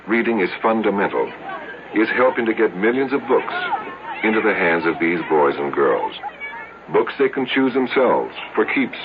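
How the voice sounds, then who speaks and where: An earnest American man reading a scripted public service appeal on radio. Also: low-pitched. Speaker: charity appeal announcer. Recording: tinny old radio, clearly noisy.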